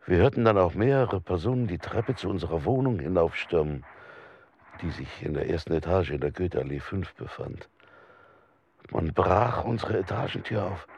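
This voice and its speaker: Very deep-voiced, formal male witness. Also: gravelly, a little mellow, breathy edge, dry recording, highs cut off, full character voice. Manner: formal, measured, precise recounting. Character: persecuted husband giving a sober sworn testimony. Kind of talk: scripted documentary radio drama monologue.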